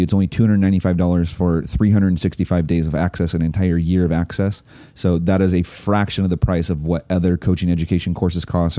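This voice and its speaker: A man's voice: deep voice, no echo. A man hosting a coaching podcast, talking casually and enthusiastically with plenty of fillers and restarts. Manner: relaxed, filler-heavy, enthusiastic ad read. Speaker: podcast host. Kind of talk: casual podcast intro and interview.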